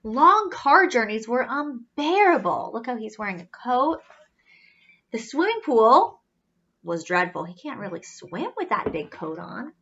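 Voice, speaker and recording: A woman's voice: a little high, dull audio. A woman reading a children's story aloud expressively, adding simple questions and asides for young listeners. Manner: expressive, questioning, with gasps and drawn-out emphasis.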